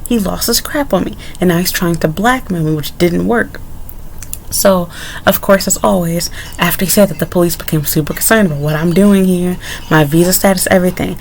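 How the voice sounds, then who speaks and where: A young woman recounts a personal ordeal straight to camera, loose and candid, drifting into side remarks before picking the thread back up. Her voice sits fairly low with a noticeable gravelly fry running through it. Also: fairly quick, a little hiss or hum. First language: English. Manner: candid, rambling with frequent asides. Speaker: vlogger. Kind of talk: casual story-time monologue.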